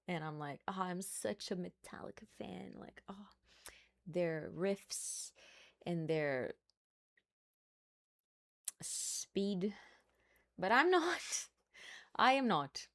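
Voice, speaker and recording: Chatty Brazilian woman with a lowish voice. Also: expressive, drawn-out words, gravelly, long pauses, a bit bright. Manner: chatty, laughs often, playful asides. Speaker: streamer. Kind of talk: casual live-stream chat.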